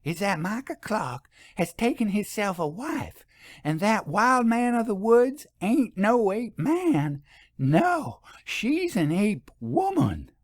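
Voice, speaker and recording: Man putting on a high-pitched gossipy rustic shopkeeper woman. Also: sing-song, no echo, clean studio sound, exaggerated voice. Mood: scandalized. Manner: folksy ungrammatical speech, drawn-out emphasis.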